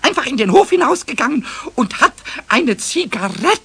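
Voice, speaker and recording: A man voicing a cheeky, excitable little male kobold in a children's radio play, squeaky and shrill, stammering and repeating words in indignation. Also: moderate pace, creaky, breathy edge, heavily acted. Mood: eager tattling. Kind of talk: 1970s German children's radio play acting.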